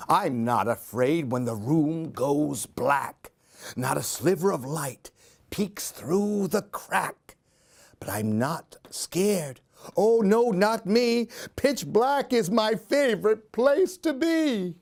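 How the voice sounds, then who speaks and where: A man reading a children's story aloud in an expressive, theatrical, singsong way. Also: sits high, takes it slowly, gravelly. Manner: theatrical, singsong rhyming delivery.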